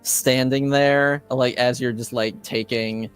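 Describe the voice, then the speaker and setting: A man running a tabletop game as game master, improvising narration and often pausing to think mid-sentence. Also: mid-range voice, fairly even intonation, clean tone, soft background music.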